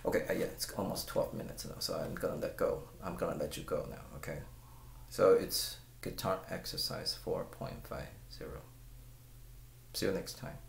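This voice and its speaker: Deep, gravelly Chinese-speaking man with a rambling manner. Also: fairly even intonation, normal speed, echoey room, a little hiss or hum. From China. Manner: rambling, repeats words, asks the viewer pointed questions. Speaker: guitar teacher. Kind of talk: casual talk to camera. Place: small room at home. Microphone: webcam at close range.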